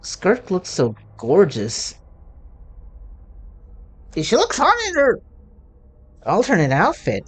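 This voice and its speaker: A woman's voice: fairly low pitch, wildly swooping pitch, slow, pauses often, slightly rough. A woman hosting a gaming video with casual, playful commentary and exclamations. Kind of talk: casual playthrough commentary with character lines read along. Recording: game music behind the voice. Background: game music.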